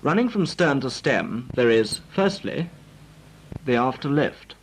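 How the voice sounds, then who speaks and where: Male actor as British 1940s navy flying instructor: formal, precise, animated pitch, slight old-film noise. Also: measured pace, natural voice. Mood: formal, instructive. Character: brisk, formal male naval flying instructor briefing trainees. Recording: noisy, crackly 1940s film soundtrack.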